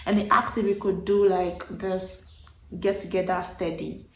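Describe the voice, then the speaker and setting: A young woman in her twenties from Nigeria, speaking with a Nigerian accent, casually telling a personal story to camera in an animated, emphatic way and repeating words for effect. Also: fairly low pitch, unhurried, a little hiss or hum. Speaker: vlogger. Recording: roomy echo.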